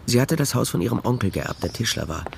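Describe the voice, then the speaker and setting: A dogged private eye in a radio crime drama. His voice is middle-pitched with a gravelly fry. He speaks dryly and with irony, over clearly audible background noise. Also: animated, fast. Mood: dry narration. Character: Nestor, wry, dogged male private detective. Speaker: detective narrator and lead character.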